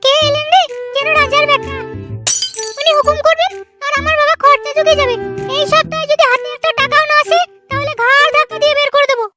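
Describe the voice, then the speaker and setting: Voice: very high-pitched, little pitch movement, quick pace, loud music behind the voice. A performer voicing a quarrelsome, mocking cartoon character in a Bengali comedy dub, with crude scolding delivery. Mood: angry scolding.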